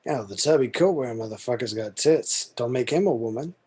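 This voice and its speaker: A man playing a blunt, wry dead apostle with a slightly husky voice, in a script table read. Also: medium pitch, lively intonation, a little fast, dark tone. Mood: blunt, teasing.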